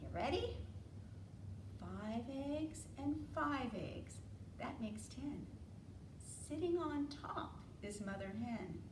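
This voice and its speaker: An American woman leading an interactive storytime for preschoolers, asking simple questions and drawing out key words in an animated way. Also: high voice, slow pace. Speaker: children's storytime librarian. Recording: roomy, distant mic, faint room noise.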